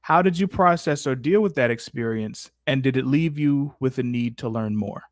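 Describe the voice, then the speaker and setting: A man's voice: medium pitch, moderate pace, dry recording, studio quality. A man hosting a casual livestream Q&A with slangy, mock-exasperated banter. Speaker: livestream host.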